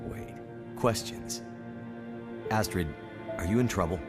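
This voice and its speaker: A deep-voiced, bitter bush pilot. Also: male voice, slow pace. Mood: heated. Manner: terse, clipped, defensive. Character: gruff male bush pilot, guarded and bitter. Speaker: game character. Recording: game cutscene over loud music.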